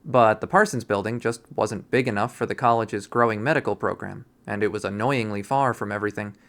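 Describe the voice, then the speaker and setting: A man reading a visual novel aloud in expressive first-person narration. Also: fairly low pitch, brisk. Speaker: visual novel readthrough player.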